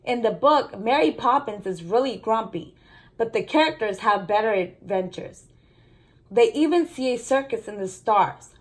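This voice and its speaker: A woman's voice: fairly high pitch, expressive, moderate pace, rough recording. A woman teacher reading a story aloud to students and explaining it in an instructive way. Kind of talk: read-aloud storytelling with lesson commentary.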